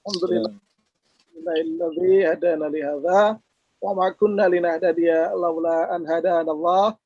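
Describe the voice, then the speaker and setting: A man teaches a religious lesson while hoarse from a cold, his voice high and gravelly. He speaks in a measured way and recites Arabic passages. The sound is dry and muffled, like an online call. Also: fairly even intonation, a little mellow, dry recording. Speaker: Islamic teacher. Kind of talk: religious lecture.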